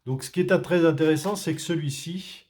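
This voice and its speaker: A man's voice: fairly high pitch, a little fast, a little mellow. A man from France doing a casual unboxing, talking hesitantly with many fillers and restarts. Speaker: tech reviewer.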